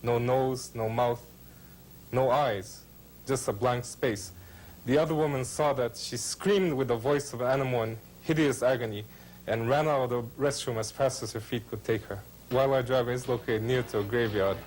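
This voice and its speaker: A man telling a ghost story in a deliberate, dramatic manner. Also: mid-range voice, darkish tone, slight background noise, rough recording. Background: faint music.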